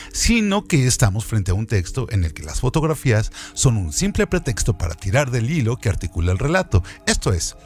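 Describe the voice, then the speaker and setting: Man, lively intonation, mid-pitched, formal didactic essay narration, light music underneath. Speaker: radio presenter. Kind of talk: scripted literary essay narration with question hooks. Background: background music.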